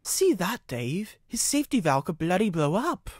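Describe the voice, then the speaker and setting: A man voicing an exasperated steam engine driver in a storybook, blunt and sharp-tongued. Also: high voice, very animated, normal speed, no echo, clean studio sound, pitched above the performer's own voice. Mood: worried.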